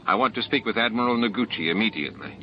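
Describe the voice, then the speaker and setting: A man plays a station commander in a science fiction audiobook, weary, firm and measured. The voice is fairly low. The sound is dull, with clear noise behind it. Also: lively intonation, close to an everyday voice. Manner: mild, measured.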